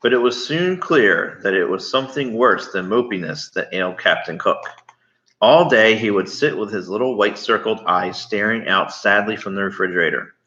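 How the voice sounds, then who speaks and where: A man reading a storybook aloud, pausing to explain things and ask questions as he goes. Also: fairly low pitch, lively intonation, bright, forceful tone. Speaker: read-aloud storyteller to children. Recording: slightly muffled, echoey room.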